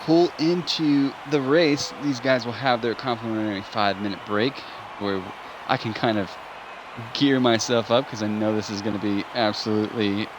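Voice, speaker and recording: Deep-voiced man giving chatty race commentary. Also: normal speed, background noise. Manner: relaxed, chatty, laughs often. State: saving a tiring voice. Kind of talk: live sim racing commentary.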